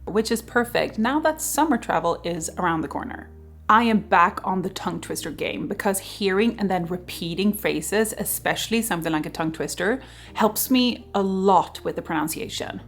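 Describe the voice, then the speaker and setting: A woman's voice: medium pitch, animated, normal speed, faint music behind. A woman in her thirties whose first language is Swedish, chatting casually in a vlog outdoors in the freezing cold, laughing often. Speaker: vlogger.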